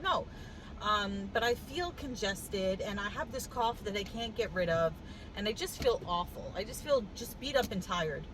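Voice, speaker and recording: Vlogger, woman, higher voice, congested cough, wry and animated storytelling, recorded in a car. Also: background noise. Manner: animated, wry, laughs while telling the story. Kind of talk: casual storytelling vlog. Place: in a car with the air conditioning on.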